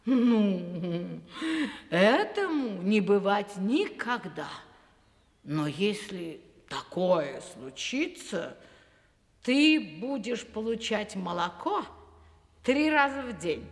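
Slow-spoken, wary cave woman. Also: mid-range voice, very animated, heavily acted. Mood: firm, skeptical. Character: cave woman, wary, firm, mocking housewife. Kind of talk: audio fairy-tale play acting. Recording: long reverb.

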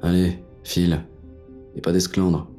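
A man's voice: sits low, flat delivery, measured pace, dark tone. A man playing a cynical, wounded fugitive in noir audio fiction, narrating in the first person with crude, terse French slang. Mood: grim, cynical. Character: hard-boiled, cynical, wounded male fugitive with a gun. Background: music.

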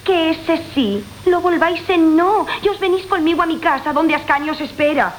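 An actress with a Castilian accent playing an indignant, meddling matchmaking friend in a classical radio comedy, scolding and emphatic. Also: female voice, extremely high pitch, moderate pace, a little hiss or hum. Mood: scolding, urging. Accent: Castilian Spanish.

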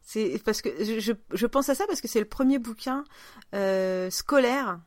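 A woman hosting a podcast in casual chat, enthusiastic and halting, repeating words as she goes. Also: on the high side, no room sound, clean studio sound. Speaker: podcast host.

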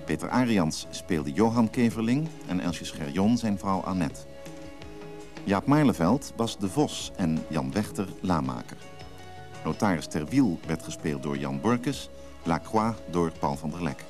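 A male radio drama announcer with a very low voice reads scripted credits. Music plays clearly behind him as he lists the cast in a formal, prepared way. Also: lively intonation, a little slow.

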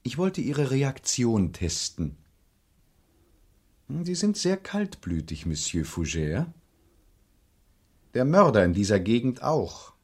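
A police investigator in a crime radio play, formal and slyly insinuating. His pitch swings widely as he probes, and the voice has a husky edge. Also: big pitch swings, exaggerated voice. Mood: coolly testing. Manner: formal, deliberate, insinuating politeness. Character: probing police investigator, courteous, sly and insinuating. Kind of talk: German crime radio drama acting.

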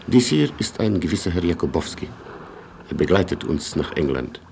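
An actor playing a proud, chivalrous Polish colonel in a German radio play, speaking with ceremonious, melodramatic formality. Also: male voice, sits low, sing-song, normal speed, creaky, a little mellow, slight background noise, full character voice. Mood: insistent, formal.

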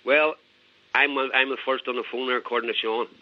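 A man's voice: mid-range voice, steady tone, brisk. A man with a Northern Irish accent calling in to a radio show, chatting in an easygoing local way. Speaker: phone-in caller. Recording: phone line.

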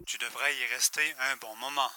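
Guy doing henchman sidekick in a radio play, really sharp bright voice, kinda hesitant, defers to the boss. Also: medium pitch, fairly even intonation, fairly quick. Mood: cautious. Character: male henchman, questioning and deferential accomplice. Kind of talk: audio drama acting.

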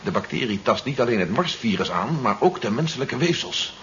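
A man's voice: medium pitch, sing-song, a little fast, fairly bright tone. A man playing a resolute, authoritative resistance leader in a 1970s radio drama. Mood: grave, matter-of-fact. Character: resolute, commanding male leader of a resistance war. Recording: muffled 1970s radio recording, noisy.

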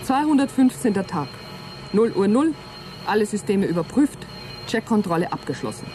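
A woman's voice: medium pitch, sing-song, moderate pace, music in the background, lightly acted. A woman acting an earnest, hopeful astronaut in a German radio play, speaking in an articulate, reflective way. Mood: businesslike.

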